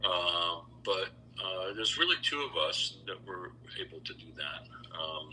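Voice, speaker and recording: A man's voice: low voice, lively intonation, unhurried, bright, forceful tone, a little hiss or hum, low-quality recording. A man answering interview questions conversationally as a singer guest, emphatic and repeating himself for stress.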